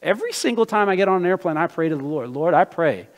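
A man born in Brazil and raised in the United States, preaching a sermon as he tells his own story and builds to emphatic, loud passages. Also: high-pitched, expressive, fast talker. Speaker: pastor. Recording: long hall reverb.

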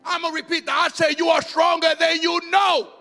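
A man delivers a sermon in a very high, bright voice. He drops into rapid, quieter asides, then climbs back into shouting. He is heard in a long-reverb hall with soft music underneath. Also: little pitch movement. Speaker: preacher.

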